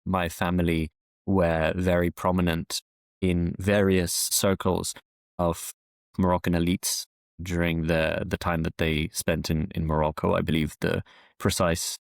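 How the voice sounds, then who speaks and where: Deep-voiced man, measured interview guest. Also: level tone, vocal fry, no echo, clean studio sound. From France. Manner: measured, with frequent restarts and fillers. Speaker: podcast guest, historian. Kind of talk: conversational interview answers. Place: London, UK.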